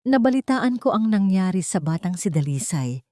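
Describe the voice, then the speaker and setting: A woman voicing a bitter, secretive elderly former housemaid in an audio storybook, in a hushed, confiding manner. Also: mid-range voice, sing-song, moderate pace, dry recording, studio quality, close to an everyday voice. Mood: grave confiding. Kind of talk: audio storybook character dialogue.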